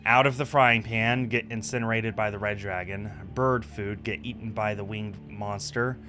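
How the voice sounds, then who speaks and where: A man's voice: on the low side, fairly even intonation, moderate pace. A man in his thirties giving casual game stream commentary and reading on-screen text aloud. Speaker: streamer, host. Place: indoor room at a streaming setup. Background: music.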